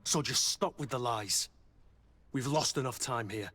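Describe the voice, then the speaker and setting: An actor playing a blunt, no-nonsense military captain in a video game, with a curt, firm manner. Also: male voice, medium pitch, a little slow, fairly bright tone. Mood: stern, impatient. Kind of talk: video game character acting.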